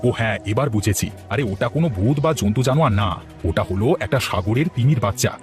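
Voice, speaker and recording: An exclamatory village farmer from a cartoon folk tale, acted by a man. He talks rapidly at an ordinary male pitch, with faint music behind him. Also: lightly acted. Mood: arguing. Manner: expressive, exclamatory. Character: village men: practical farmer husband, frightened neighbours. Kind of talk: cartoon voice acting.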